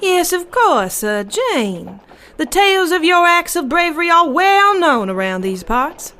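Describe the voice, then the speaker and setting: High animated voice, keen lady editor, flowery theatrical phrasing, old-west radio play. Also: a little slow, heavily acted. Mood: eager, flattering. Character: eager, flowery-spoken female small-town newspaper editor. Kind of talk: children's western audio drama acting.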